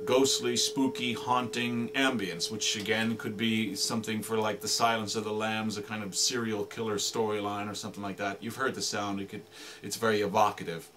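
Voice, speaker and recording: A man's voice: lowish voice, level tone, moderate pace, bright, forceful tone, slight background noise. A man giving an informal, explanatory demonstration of radio drama sound effects, piling up descriptive words as he talks.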